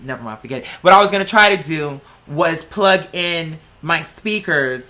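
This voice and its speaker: Female reaction vlogger. Bright, punchy voice, blunt and exasperated, casual delivery. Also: deep voice, animated, moderate pace. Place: indoors at a computer. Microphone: plug-in computer microphone.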